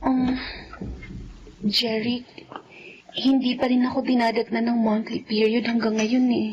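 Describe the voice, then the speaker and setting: A woman's voice: fairly high pitch, level tone, unhurried, highs cut off, slight background noise, lightly acted. A woman acting a lovelorn, anxious young woman in an emotional radio drama, with music behind her. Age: young adult. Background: background music.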